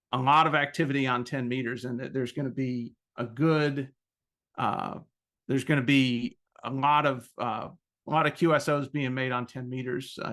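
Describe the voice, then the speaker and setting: A man giving an informal explanatory presentation as a club president, hesitating often with halting phrasing and frequent ums. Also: medium pitch, a bit bright.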